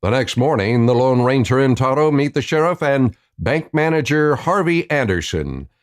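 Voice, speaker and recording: A man's voice: medium pitch, expressive, normal speed, lightly acted. A man narrating a scripted western radio play, telling the story in the present tense.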